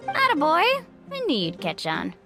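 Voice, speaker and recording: A woman's voice: high voice, wildly swooping pitch, normal speed, vocal fry, over music, full character voice. A woman playing a confident, upbeat, protective big-sisterly girl champion in a video game, with a breezy, supportive manner. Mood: teasing.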